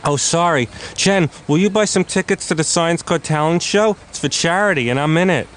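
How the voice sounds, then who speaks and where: A man's voice: a little high, moderate pace, a bit bright, natural voice. An actor playing a bumbling, eager male court stenographer in a cartoon comedy, hapless in manner. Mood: eager, sheepish. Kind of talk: animated comedy dialogue.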